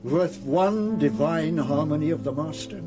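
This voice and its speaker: An actor playing a smooth, condescending crooked lawyer with a cultured, menacing, theatrical manner in a radio drama. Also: male voice, a little high, unhurried, over loud music, close to an everyday voice. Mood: rapturous. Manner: suave, theatrical, mocking.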